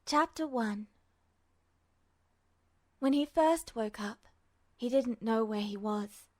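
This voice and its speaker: High-voiced woman narrating slowly. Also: darkish tone. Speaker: amateur audiobook reader. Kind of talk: scripted fiction narration, podfic.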